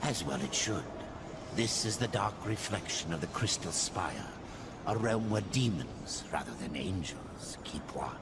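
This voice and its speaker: Grave, cryptic otherworldly guide for a game, theatrical and very low, heavy background noise. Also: male voice, very animated, gravelly, full character voice. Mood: grave, ominous. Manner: solemn, theatrical, measured. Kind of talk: video game cutscene acting. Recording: noisy game audio with loud rumbling ambience.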